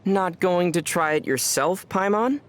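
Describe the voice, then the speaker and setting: A man playing a young traveler hero in a video game, calm and wry, with a dry, teasing manner. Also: high-pitched, measured pace. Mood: dry, musing.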